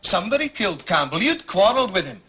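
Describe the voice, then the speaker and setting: A sceptical police inspector, deliberate and insistent. His voice is husky and forceful, with lively rises and falls. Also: sits high, exaggerated voice. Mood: stern suspicion. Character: dogged, sceptical police inspector questioning a suspect.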